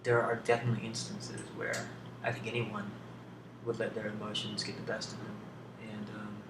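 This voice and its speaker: Low-pitched man doing ASMR, roomy noisy recording. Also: completely flat delivery. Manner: hesitant, thoughtful, halting with long pauses. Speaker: ASMR video host. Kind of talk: ASMR, unscripted question-and-answer. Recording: roomy, distant, clearly noisy home recording.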